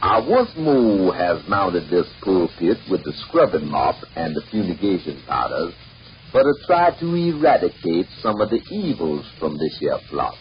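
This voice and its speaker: A man's voice: deep voice, sing-song, measured pace, lo-fi sound, voice pushed down. A man performing a comic mock sermon as an exasperated, foul-mouthed, folksy preacher scolding his stingy flock. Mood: pompous. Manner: folksy, bombastic, cursing pulpit delivery. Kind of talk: mock sermon comedy monologue. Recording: noisy band-limited old 78rpm record.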